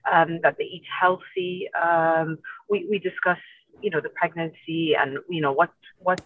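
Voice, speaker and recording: A woman's voice: low voice, fairly even intonation, unhurried. Woman guest on a thin, phone-like line. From Iraq. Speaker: doctor, obstetrician and gynecologist guest. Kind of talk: conversational interview answers. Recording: muffled phone-like call, distant from mic.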